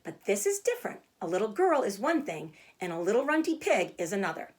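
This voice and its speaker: Woman reading as gentle, firm farmer father. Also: mid-range voice, a little fast, close to an everyday voice. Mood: reassuring. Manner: calm, reasoning, firm.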